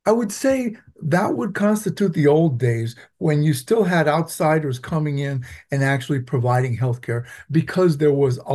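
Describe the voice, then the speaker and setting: A man from Venezuela who teaches public health, answering interview questions in a measured, explanatory way with frequent ums. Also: medium pitch, warm tone. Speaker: public health professor, guest.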